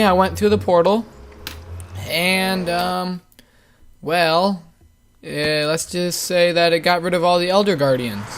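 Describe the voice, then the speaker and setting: A young man with a voice that is giving out, rambling through a casual game commentary with many fillers. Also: on the high side. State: losing his voice. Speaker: gaming channel host. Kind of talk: casual Let's Play commentary.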